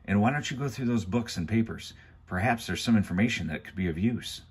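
A man reading aloud and voicing an inventive, resourceful teenage girl in a soft, raised voice. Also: fairly low pitch, expressive, fairly quick, slightly rough. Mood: anxious.

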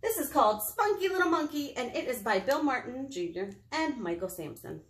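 Animated high-voiced American woman reading to kids. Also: a little fast. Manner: animated, expressive, talking directly to small children. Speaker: children's librarian hosting storytime. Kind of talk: children's storytime read-aloud with singing. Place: small indoor meeting room. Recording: roomy indoor echo.

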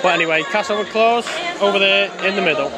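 A man's voice: sits high, fast talker. A man gives chatty, grumbling commentary from the stands of an outdoor rugby ground, filmed on a handheld phone. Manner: chatty, grumbling, talks over the crowd. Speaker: fan vlogger at a rugby ground. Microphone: phone camera held in hand. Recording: loud crowd noise, outdoor phone recording. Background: loud crowd and outdoor noise.

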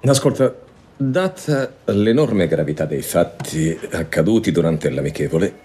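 A stern school principal in a dubbed TV drama. His voice is low and husky, with faint music underneath. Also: lively intonation, unhurried, dark tone, heavily acted. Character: stern male American high school principal.

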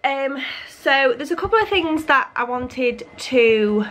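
A young woman in her twenties, slightly husky as she gets over a cold, chatting to camera in her living room with lots of fillers. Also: high-pitched, lively intonation, slow, a bit bright, slight background noise. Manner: rambling, many fillers and restarts. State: recovering from a cold. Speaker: vlogger. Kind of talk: casual chat to camera. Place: living room at home, indoors. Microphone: camera on a tripod.

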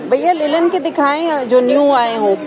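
A woman's voice: high-pitched, moderate pace. A woman with a Pakistani accent giving a casual market tour and asking vendors for prices, as a shopping vlogger. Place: busy indoor shopping bazaar. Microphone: handheld phone, behind the camera. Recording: loud bustling market crowd behind. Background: loud shoppers and vendors.

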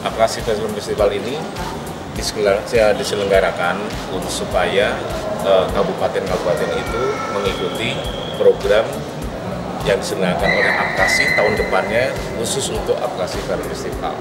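A man in his forties answering interview questions at an expo booth, halting and full of fillers. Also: sits low, steady tone, recorded from a distance, over loud music. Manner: hesitant, many fillers and restarts. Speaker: film company representative. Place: busy indoor expo hall, at a booth. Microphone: handheld reporter mics held close.

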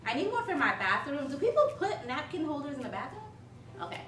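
A woman's voice: high-pitched, very animated, a little fast, a bit bright, slight background noise. A young woman from Haiti chatting casually to her viewers as she shows off home decor, with a bubbly manner and lots of "like"s. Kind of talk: casual haul video. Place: living room, seated on a sofa. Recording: roomy sound from a distant mic.

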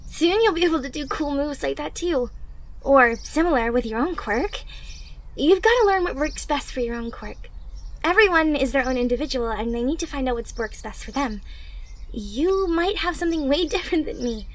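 A woman's voice: high voice, lively intonation, normal speed. A woman playing an upbeat, encouraging teenage girl superhero trainee in an anime fan roleplay, coaching the listener directly in a bubbly manner. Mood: encouraging, explaining. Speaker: audio roleplay performer.

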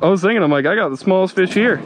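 Excitable man fishing on a boat. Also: high-pitched, very fast. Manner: excitable, exclaims often. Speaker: fishing vlogger. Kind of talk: casual chat while fishing. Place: small boat offshore, outdoors. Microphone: action camera on the boat. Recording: outdoor wind and engine noise.

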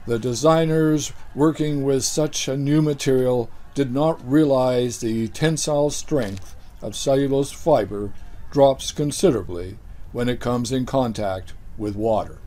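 An older man delivering a deadpan mock news report as a comedy anchor, in a dry, unhurried newsreader manner. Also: medium pitch. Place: studio set with green-screen backdrop.

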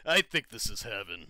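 A man's voice: sits high, vocal fry, pushed and bright, no echo, clean studio sound, exaggerated voice. A man playing a crude, exuberant comedian in his early thirties, theatrical and enthusiastic, in an amateur comic dub.

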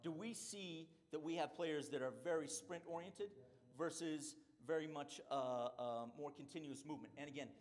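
A man's voice: a little high, moderate pace, a little mellow. A middle-aged man, a strength and conditioning coach, giving a conference presentation and explaining points with frequent "right?" check-ins.

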